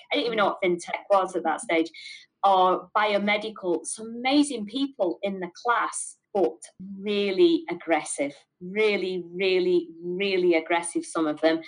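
A woman's voice: medium pitch, rough recording. A middle-aged woman with a Mancunian accent, a business owner answering interview questions candidly and stressing points by repeating words. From north of England.

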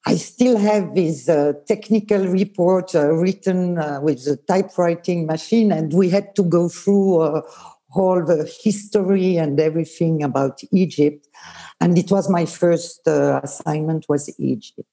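A woman's voice: lowish voice, measured pace, warm tone. A Swiss woman whose first language is Swiss German, reminiscing about her old work as a tour leader in a hesitant, casual way with many fillers. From Switzerland. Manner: hesitant, many fillers, laughs while telling stories.

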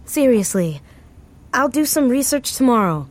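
A woman's voice: sits high, very animated, normal speed, slight background noise. An actress playing an anxious, grieving woman putting on a brave face in an audio drama, guarded and insistent. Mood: defensive, insistent. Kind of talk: naturalistic audio drama acting.

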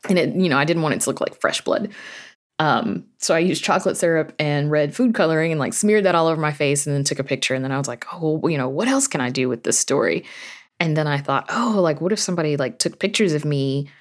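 A woman with a low voice speaks as an interview guest. Her delivery is informal and reflective, in the manner of someone telling a story in conversation. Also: quick pace. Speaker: guest, horror fiction writer and podcast creator.

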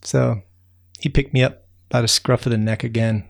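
Man doing easygoing folksy raccoon, rambling, gravelly, bedtime story. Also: medium pitch, fairly even intonation, moderate pace, close to an everyday voice. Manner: rambling, folksy, lots of fillers. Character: friendly chatty male raccoon, easygoing storyteller.

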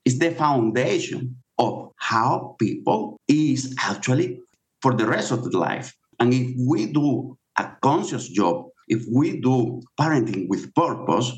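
Man, middle-aged, non-native English, earnest, explanatory, interview guest, mid-range pitch. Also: sing-song, slow, low-quality recording. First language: not English. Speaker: guest author. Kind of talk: interview answers.

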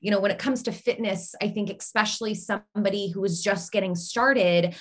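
A woman's voice: medium pitch, a little fast, fairly bright tone. A woman who owns a fitness business, speaking as a podcast guest in an earnest, explanatory way with frequent filler words. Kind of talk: interview answers.

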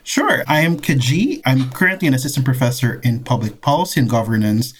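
A man in his thirties, from the Philippines, speaks as a podcast guest. He talks in a friendly, unhurried way, pausing and restarting his thoughts now and then, and his pitch sits at an average level. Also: lively intonation. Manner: friendly, hesitant with restarts and laughs. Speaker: guest, professor. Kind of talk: casual interview answers.

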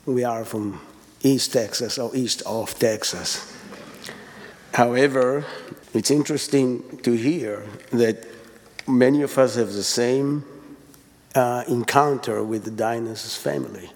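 A man from Israel with an Israeli accent, sharing halting, unscripted memories at a memorial service. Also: mid-range voice, darkish tone. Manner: halting, with fillers and restarts. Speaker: church member giving a eulogy. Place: church sanctuary. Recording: reverberant hall.

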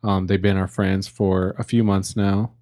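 A man's voice: low voice, level tone, a little slow, mellow, no room sound, studio quality. A young man in his early twenties co-hosting a casual podcast, a little drunk, rambling with fillers and restarts. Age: young adult. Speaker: podcast co-host.

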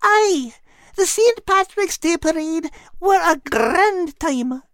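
Storybook female dog, played by a man: sweet, eager, very high and bouncy. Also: animated, slow pace, fairly bright tone, dry recording, studio quality, heavily acted. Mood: cheerful. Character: sweet cheerful female Scottish dog, loyal friend.

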